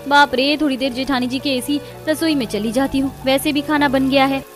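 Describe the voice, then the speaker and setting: Woman playing high-voiced sly, lazy daughter-in-law. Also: fairly even intonation, a little fast, faint music behind, close to an everyday voice. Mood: self-satisfied, weary.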